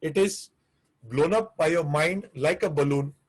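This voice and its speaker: A man's voice: a little high, unhurried, slightly rough, rough recording. A man with an Indian English accent, teaching a live online class on restaurant business, earnest and prone to repeating himself. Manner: earnest, repetitive, restarts phrases.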